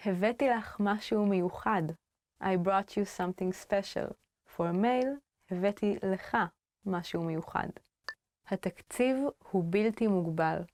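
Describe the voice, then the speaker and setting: A playful woman hosting a video language lesson, upbeat and chatty. Her voice sits at a medium pitch and is recorded close and dry, with no room sound. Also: rapid-fire, darkish tone, no room sound. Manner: playful and upbeat, with frequent laughs. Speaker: language teacher.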